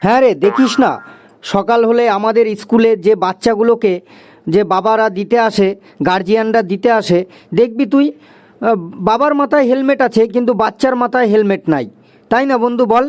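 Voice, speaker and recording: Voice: medium pitch, fairly quick, a little mellow, close to an everyday voice. A performer voicing a chatty, agreeable schoolboy in a cartoon, with a conversational, explaining manner. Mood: concerned, agreeing. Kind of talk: cartoon dialogue.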